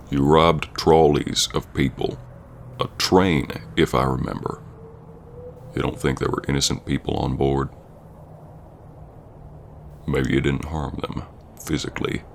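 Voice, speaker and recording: Extremely low and heavy with gravel, this is a gruff Old West bounty hunter acted by a man in an audio roleplay. He speaks with stern, gentlemanly menace and folksy grammar. Clear background noise and ambience sit behind the voice. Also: exaggerated voice. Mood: indignant. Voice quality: very deep, gravelly. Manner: slow-burning, stern gentlemanly drawling talk with folksy grammar. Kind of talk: ASMR roleplay acting addressed to the listener.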